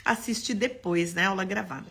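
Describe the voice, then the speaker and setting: A woman from São Paulo with a Paulista accent, an architect teaching an expressive live-stream class. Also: medium pitch, moderate pace, creaky, slightly rough. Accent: Paulista Brazilian Portuguese. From São Paulo, Brazil. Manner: expressive, greets viewers by name.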